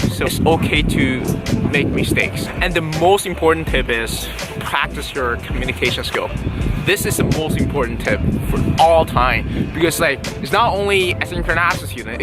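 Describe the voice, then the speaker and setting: A young man with a Chinese accent, a Mandarin native speaker, talks outdoors in a casual, upbeat vlog, stressing points by repeating words. His voice sits a little high. Loud music plays under him on a city street. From China. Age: young adult. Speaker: vlogger giving tips to students.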